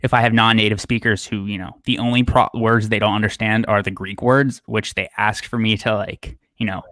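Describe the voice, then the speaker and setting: A man's voice: on the low side, fairly even intonation, fairly quick, bright, forceful tone, dry recording, clean studio sound. A man talking casually as a podcast guest, self-deprecating, with lots of fillers and restarts. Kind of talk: casual interview chat.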